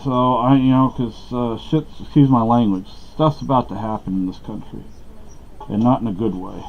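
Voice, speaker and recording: Middle-aged man, rambling home vlog, mid-pitched voice, muffled camera audio. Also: steady tone, moderate pace, slight background noise. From Texas. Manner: meandering, many fillers and restarts. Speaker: vlogger. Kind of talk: rambling casual talk to camera. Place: small dark room at home.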